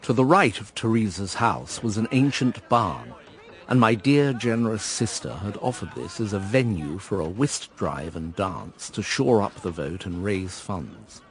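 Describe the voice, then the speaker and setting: A man's voice: lowish voice, very animated, measured pace. An actor playing a wry, articulate, embittered man who narrates his own story in a radio drama. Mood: wry narration. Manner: wry, articulate, educated phrasing.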